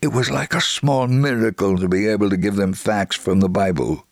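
A man reads a missionary's first-person account in steady, earnest narration. His voice sits low with a gravelly edge. The sound is dry and close to the mic. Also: no room sound. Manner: measured, earnest storytelling. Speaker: reader of a missionary letter.

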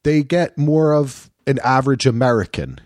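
A man in his fifties with a deep radio-type voice, chatting casually as a podcast co-host. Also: expressive, normal speed, a little mellow, no echo, clean studio sound. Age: 50s. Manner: chatty, rambling with restarts. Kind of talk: casual chat.

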